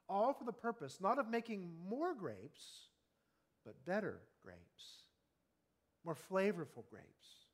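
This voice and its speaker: A man in his fifties preaching an evening sermon, earnest and conversational, often asking the congregation questions and slowing down on key words. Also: high voice. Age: 50s. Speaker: pastor.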